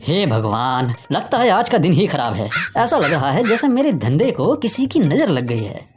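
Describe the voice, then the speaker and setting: A woman's voice: deep voice, sing-song, normal speed, darkish tone, pitched below the performer's own voice. A woman voicing a grumbling, vengeful male village thief in a cartoon story. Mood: dismayed. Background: music.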